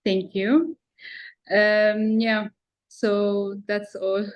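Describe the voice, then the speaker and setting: A woman's voice: medium pitch, little pitch movement, extremely slow, low-quality recording. A woman from Lebanon speaking English as a second language, introducing herself informally and a little hesitantly on a video call. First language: not English. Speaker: master's student, former TV news reporter.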